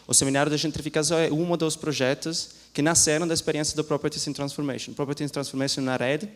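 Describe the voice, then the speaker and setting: A man, a law professor, giving a seminar talk in a lecture hall, speaking haltingly with many restarts and a mix of languages. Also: a little high, fairly even intonation. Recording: long hall reverb.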